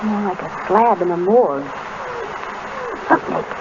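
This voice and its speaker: A woman plays a strong-willed, playful detective's wife in a vintage radio mystery. Her medium-pitched voice comes through muffled, with clear background noise. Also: animated, measured pace, lightly acted. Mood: uneasy, hushed. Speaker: radio actor. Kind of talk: 1930s radio acting. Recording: muffled, noisy 1930s radio recording.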